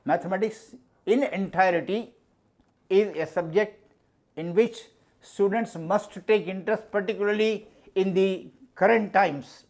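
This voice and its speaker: An older man with a high voice answers interview questions about teaching mathematics. His first language is Urdu. He speaks in a measured, emphatic way and often repeats his key phrases. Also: darkish tone.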